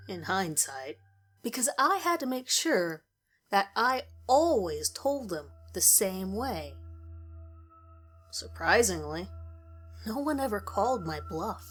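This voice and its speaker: A woman reads a first-person horror story as narrator, in measured, deliberate phrases with pauses. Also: medium pitch. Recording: music under the voice. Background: background music.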